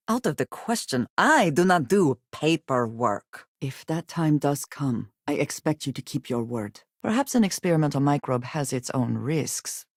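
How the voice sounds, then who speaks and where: An actress playing a guarded, solemn woman companion in a space adventure video game, speaking in formal, measured phrases. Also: low voice, a little mellow, dry recording, studio quality. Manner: formal, measured speech without contractions. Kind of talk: scripted video game voice acting.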